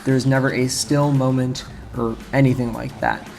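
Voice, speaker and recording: A man's voice: medium pitch, level tone, moderate pace, creaky, a little mellow. Casual male game commentator over music. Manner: informal, rambling, self-correcting. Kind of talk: casual video essay commentary.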